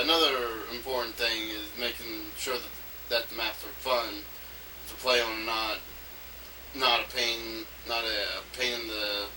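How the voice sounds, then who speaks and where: A man with a strikingly sharp, bright voice rambles through an unscripted audio log. He stops, restarts and repeats his phrases as he thinks aloud. The amateur recording is slightly noisy, and he sounds set back from the microphone. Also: mid-range voice, recorded from a distance. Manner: halting, repeats himself, trails off mid-phrase.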